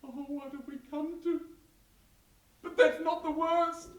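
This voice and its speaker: A woman's voice: sits high, fairly even intonation, a little slow, voice pushed up. A woman voicing a fretful young settler bride who exclaims breathlessly and laughs nervously, recorded roomy and from a distance with faint noise behind. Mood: distressed.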